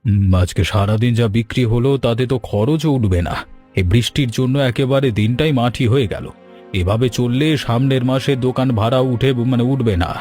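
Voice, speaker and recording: A man's voice: medium pitch, moderate pace, warm tone, lightly acted. A man acts out a middle-aged village shopkeeper in a cartoon, a man with a mid-range voice. He sounds gloomy and fretful, weighed down by worry, over faint background music. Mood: worried, downcast. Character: worried, gloomy middle-aged village sweet-shop owner. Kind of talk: animated folk-tale cartoon dialogue.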